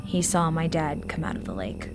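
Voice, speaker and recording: A woman narrating an audio drama in a calm storytelling voice. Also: low-pitched, brisk. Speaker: radio play narrator. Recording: narration under loud music.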